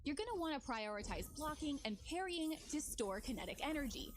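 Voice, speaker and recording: A woman with a fairly high voice delivers scripted gameplay narration. She explains like a trailer narrator, with music playing underneath. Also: very animated, brisk. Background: background music.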